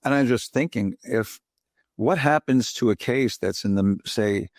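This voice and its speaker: A man's voice: medium pitch, unhurried, dry recording, studio quality. A man from the Bronx, hosting an interview podcast in a chatty way, often asking "right?" to draw agreement. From Bronx, New York. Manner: chatty, asks leading questions with frequent "right?". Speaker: podcast host. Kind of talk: conversational interview.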